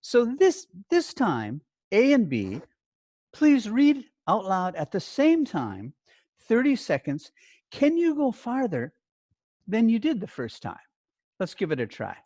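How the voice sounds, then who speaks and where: A teacher leads a lesson with simple, step-by-step directions. The pitch moves expressively up and down while the delivery stays slow and deliberate. The sound is dry, with little room in it. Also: wildly swooping pitch, darkish tone, no echo. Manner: clear simple explanations with short instructions and check questions. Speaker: teacher training English language teachers.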